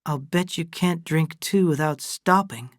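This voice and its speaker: A woman voicing a restrained daughter with a scheming streak in an audiobook, speaking in a controlled, deliberate way. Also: deep voice, no echo, clean studio sound, pitched above the performer's own voice. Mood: cold, taunting. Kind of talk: dramatised audiobook dialogue.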